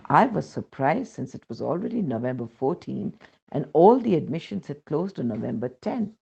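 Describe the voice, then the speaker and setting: Husky, animated Indian woman reading aloud. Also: low-pitched, moderate pace, muffled recording. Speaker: reader of a devotional testimony. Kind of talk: scripted narration, reading aloud a first-person spiritual account.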